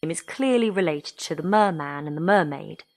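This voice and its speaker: A woman reads aloud in a somewhat low voice. Her delivery is that of someone going through a reference text within an audio drama. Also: animated, moderate pace, a little mellow. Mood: absorbed.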